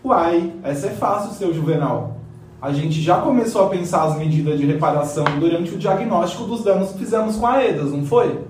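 A man's voice: high-pitched, expressive, brisk, a little mellow. A man acting an eager, confident community member explaining things colloquially in a radio play. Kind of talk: scripted educational radio play. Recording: very echoey, distant mic, faint noise.

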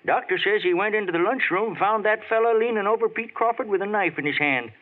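A man's voice: high voice, fairly quick, close to an everyday voice. An actor in a 1940s radio drama playing a folksy, chatty small-town man who drawls in plain rural speech. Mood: gossiping. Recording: tinny old radio transcription.

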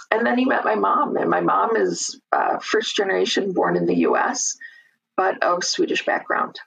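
A middle-aged guest from Chicago talks through a relaxed interview, unhurried and thoughtful, often pausing to find the next word. The voice is very gravelly, with a husky, slightly rough edge. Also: mid-range voice, poor audio. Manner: unhurried, thoughtful, many "um" pauses. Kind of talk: casual conversational interview.

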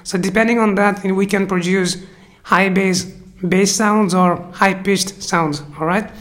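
Halting lecturer with a cold. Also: mid-range voice, fairly even intonation, a bit bright. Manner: halting, many restarts and "you know" fillers. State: has a cold. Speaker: teacher. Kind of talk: unscripted explanatory lecture.

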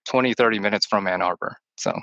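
Brief: man from Texas, Chinese first language, rambling guest, bright and dry. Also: lowish voice, fast, vocal fry, no room sound, highs cut off. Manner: long-winded, rambling, with many restarts. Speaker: podcast guest, returned missionary. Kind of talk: casual chat over a call. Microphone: call-in line.

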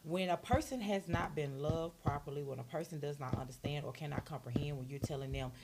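A woman's voice: deep voice, a little fast, very noisy. A woman arguing bluntly and with lots of swearing in a casual group talk. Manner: blunt, profane, talks over others.

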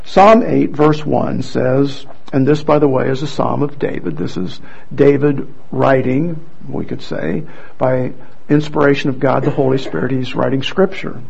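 A man preaching a sermon and reading scripture aloud, earnest and halting, with frequent restarts. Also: mid-range voice, vocal fry, darkish tone, breathy edge, dull audio. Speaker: pastor.

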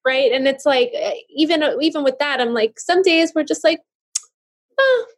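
A woman's voice: high-pitched, expressive, moderate pace. A woman hosting a podcast, in bubbly, giggly, rambling chat. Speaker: podcast host. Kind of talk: casual interview chat.

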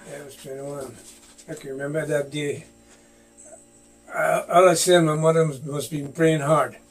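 An older man with a Guyanese accent and a slightly high voice. He tells stories from his youth in a relaxed chat with his son and laughs often as he talks. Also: moderate pace. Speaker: guest, host's father. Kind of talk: storytelling in casual chat.

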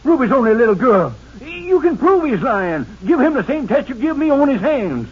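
A man's voice: extremely high pitch, big pitch swings, moderate pace, breathy edge, heavily acted. An actor playing an anguished, protective father in a 1950s radio drama. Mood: urgent. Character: anguished, protective older man, father of a young woman. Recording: muffled vintage 1950s radio recording.

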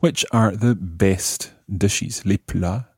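A man's voice: fairly low pitch, very slow, husky, dry recording, clean studio sound. A man hosting a language lesson, explaining grammar methodically and repeating phrases as he teaches. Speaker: language teacher and podcast host.

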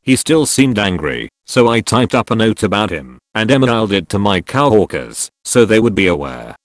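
A man narrating posts in an even, steady storytelling manner. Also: on the low side, normal speed, no room sound. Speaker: narrator reading online posts. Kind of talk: scripted narration.

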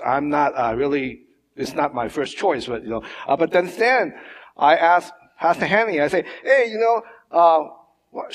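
Halting man preaching. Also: a little high. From Hong Kong. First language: Mandarin or Cantonese. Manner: halting, many fillers and restarts. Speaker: pastor. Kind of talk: sermon. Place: church hall. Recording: long church hall reverb.

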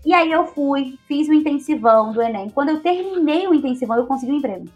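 A Brazilian woman in her twenties, a guest telling her own story in a relaxed, chatty way. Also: sits high, fast talker, faint music behind. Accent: Brazilian Portuguese. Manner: chatty, leans on tag questions. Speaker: interview guest. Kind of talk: casual personal storytelling.

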